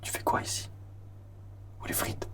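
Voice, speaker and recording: A young man whispering softly through a comic roleplay, slangy and teasing. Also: unhurried.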